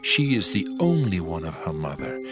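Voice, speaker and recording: A man's voice: low voice, wildly swooping pitch, moderate pace, heavily acted. A man playing a tender, adoring bridegroom in a dramatized scripture reading, solemn and poetic, with music behind him. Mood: solemn adoration. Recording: loud music under the voice.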